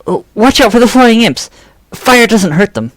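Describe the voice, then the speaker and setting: A man playing an amiable but worried, practical character in a fan audio drama, speaking haltingly. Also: sits high, expressive, heavy background noise. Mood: warning. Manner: hesitant, halting.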